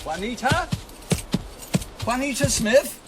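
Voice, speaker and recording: Lively, high-voiced gallant major. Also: male voice, slow pace, over music, exaggerated voice. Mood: surprised, reassuring. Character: kindly, hearty British army major, gallant and reassuring. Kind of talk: radio drama dialogue.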